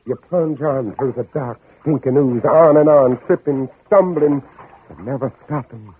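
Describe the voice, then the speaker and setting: An actor playing a hunted, guilt-ridden Southern gentleman who narrates his own flight in a theatrical old radio drama. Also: medium pitch, unhurried, husky. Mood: mounting terror. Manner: theatrical, dramatic, intense delivery. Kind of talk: 1950s radio drama, second-person narration. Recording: muffled old radio broadcast recording.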